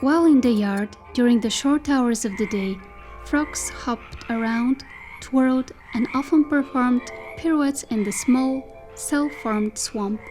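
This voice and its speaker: A woman performing as the storyteller of a dystopian folk tale, reading scripted literary narration in a measured, descriptive way. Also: fairly high pitch, darkish tone, faint music behind, poor audio.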